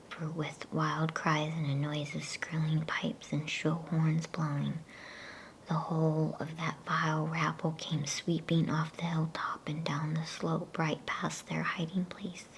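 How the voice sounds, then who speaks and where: A woman whispering a bedtime story in ASMR style, with a hushed, breathy, gentle delivery. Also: low voice, poor audio. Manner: slow, hushed, gentle pacing. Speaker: storyteller reading a bedtime book. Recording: faint background noise.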